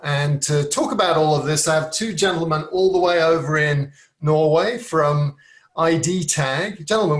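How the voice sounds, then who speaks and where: Upbeat podcast host, slightly high voice. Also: male voice, fairly bright tone. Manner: upbeat, leading questions. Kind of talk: podcast interview.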